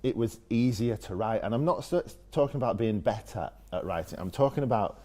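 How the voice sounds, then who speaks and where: A man's voice: lowish voice, lively intonation, fairly quick, warm tone, soft background music. A man with a Northern English accent telling casual personal anecdotes in an informal, self-deprecating way, as an interview guest. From Leeds, northern England.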